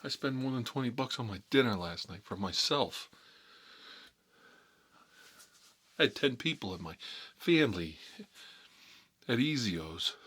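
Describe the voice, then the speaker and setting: A man playing a cagey, cocky mob boss in an ASMR role-play, talking unhurried in needling tough-guy street talk. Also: medium pitch, fairly bright tone, slightly rough. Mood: scornful, boastful.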